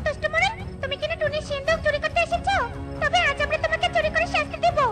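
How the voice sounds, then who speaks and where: A woman's voice: very high voice, steady tone, very fast. A woman voicing an indignant magical butterfly in a children's cartoon, scolding in a declamatory way. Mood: stern, scolding. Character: indignant magical butterfly fairy punishing a thief. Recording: loud music behind the voice.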